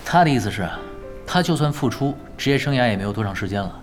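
A man performs a laid-back veteran gamer in a radio drama, confident and teasing. His medium-pitched voice moves freely up and down over a clear music bed. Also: animated, fast talker, close to an everyday voice. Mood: calm, confident. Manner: nonchalant, teasing. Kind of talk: naturalistic audio drama dialogue. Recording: music behind the voice.

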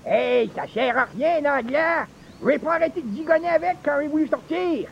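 An actor playing a cranky, folksy old rural grandpa with a Quebec French accent in a radio comedy. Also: male voice, normal speed, dull audio, slight background noise. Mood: irritated, complaining. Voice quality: creaky, high-pitched old man's voice.

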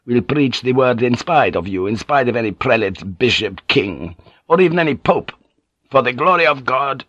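A man reading an audiobook and voicing a hostile Spanish friar with a rasping, zealous, declamatory manner. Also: medium pitch, lively intonation, moderate pace, a bit bright, dry recording, voice pushed up.

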